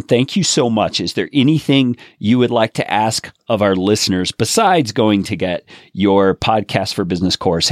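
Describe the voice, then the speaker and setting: A man's voice: on the low side, dry recording, studio quality. A man hosting a podcast interview, talking with enthusiasm and wrapping up with long explanations for his listeners. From United States. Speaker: podcast host.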